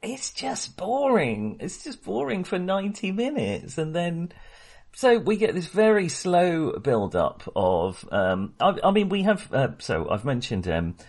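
A man's voice: high-pitched, wildly swooping pitch, unhurried, a little mellow. A man co-hosting a film podcast in casual discussion, talking hesitantly, often interrupting himself and rambling.

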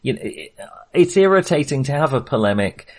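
Man, halting and amused. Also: mid-range voice, big pitch swings. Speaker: film podcast co-host. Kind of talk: casual film discussion.

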